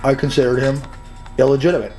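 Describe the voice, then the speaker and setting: A man's voice: medium pitch, flat delivery, fast, darkish tone, soft background music, low-quality recording. A man narrating his own case in a personal, dramatized video style.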